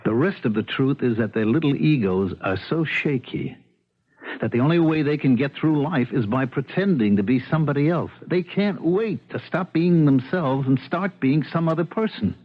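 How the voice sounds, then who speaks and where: A man's voice: fairly high pitch, animated, moderate pace. A man playing an actress's plain-spoken, exasperated American husband in a 1970s radio drama, musing emphatically and repeating himself. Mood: opinionated, earnest. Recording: muffled old radio recording, faint noise.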